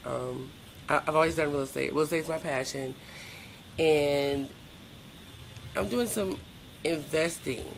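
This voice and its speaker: A middle-aged woman with a gravelly, creaky voice speaks candidly in an interview. She is blunt and emphatic, with colloquial phrasing, and there is clear noise behind her. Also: low voice, low-quality recording. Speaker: podcast guest.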